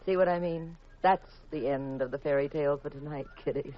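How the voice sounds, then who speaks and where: An American actress playing a sharp, teasing, suspicious detective's wife in a 1950s radio drama, with an arch, wry manner. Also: female voice, deep voice, brisk, soft background music, close to an everyday voice. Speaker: radio actor. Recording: dull, lo-fi 1950s radio recording.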